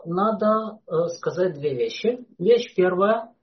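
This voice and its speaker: Unhurried, halting lecturer with mid pitch, many filler pauses, dull lo-fi recording. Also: lively intonation, a little hiss or hum. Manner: hesitant, frequent "uh" fillers. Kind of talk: unscripted lecture.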